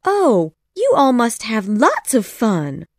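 A woman voicing a polite, excitable young girl in a storybook reading. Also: fairly high pitch, takes it slowly, no room sound, studio quality, voice pushed up, heavily acted. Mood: eager.

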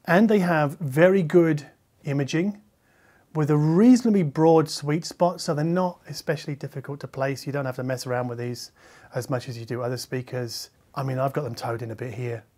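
A middle-aged man, a reviewer talking conversationally to camera in a measured, explanatory way, qualifying his points as he goes. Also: a little high, a little mellow. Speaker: hi-fi reviewer and video host. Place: medium-sized living room listening space, indoors.

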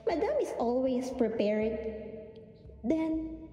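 A woman's voice: sits high, sing-song, takes it slowly, mellow, highs cut off, heavily acted. A woman playing a meek, deferential former personal assistant in a radio drama, hesitant and apologetic. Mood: timid, sorrowful. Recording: echoey reverberant room, faint music behind.

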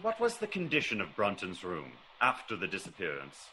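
Classic radio detective: probing, theatrical, commanding, animated delivery, slight noise on an old recording. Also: male voice, sits low, fairly quick, heavily acted, poor audio. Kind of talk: classic radio drama acting.

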